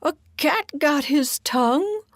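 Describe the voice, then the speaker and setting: A woman voicing an excitable, weary but curious male animal in a storybook, exclaiming and questioning. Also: on the high side, slow, creaky, no echo, studio quality, pitched above the performer's own voice, full character voice.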